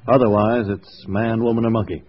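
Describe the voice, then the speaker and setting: An actor playing a brisk, slangy male FBI officer with an American accent, clipped and businesslike, in 1950s radio drama style. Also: lowish voice, steady tone, close to an everyday voice. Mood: grim, brisk. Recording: muffled old radio recording, faint noise.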